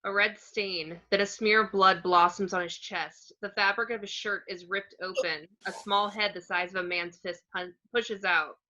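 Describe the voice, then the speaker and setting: A woman's voice: medium pitch, steady tone, moderate pace, few pauses, pushed and bright. A woman narrating screenplay action descriptions in an amateur table read, on muffled lo-fi audio.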